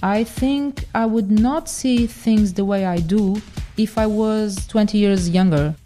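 A woman in her forties, from the Dominican Republic and Portugal, telling her story as a podcast guest with many pauses and restarts. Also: mid-range voice, warm tone, soft background music. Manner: hesitant, many fillers and restarts. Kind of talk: casual interview talk.

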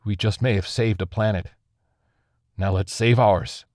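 A man's voice: on the low side, fairly even intonation, a little slow, darkish tone, no room sound, studio quality. A man voicing a defiant, wounded soldier who argues urgently and pleads with his comrades. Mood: pleading.

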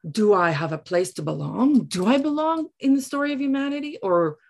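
A middle-aged woman from South Africa with an Afrikaans accent, telling personal stories reflectively in an earnest way, often halting to search for words. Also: a little high, animated, vocal fry. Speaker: interview guest, writer. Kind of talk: reflective personal storytelling in conversation.

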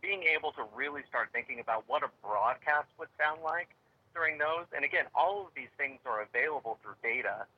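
A man's voice: fairly high pitch, brisk, dull audio. A man answering interview questions about his company's technology, rambling with many fillers and restarts. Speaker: tech company guest.

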